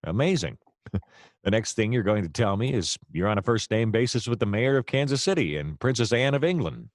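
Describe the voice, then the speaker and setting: An actor with an American accent playing a hapless, wry male private detective in a noir audio play, deadpan and sometimes flustered. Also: lowish voice, fairly quick, no room sound, studio quality. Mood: exasperated, sardonic. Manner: wry, deadpan narration, hesitant and flustered in dialogue.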